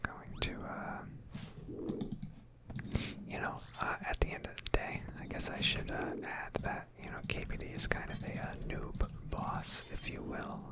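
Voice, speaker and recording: A woman softly doing ASMR commentary over video game play, hesitant and self-deprecating, close to the microphone. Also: a little slow. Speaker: gaming video creator.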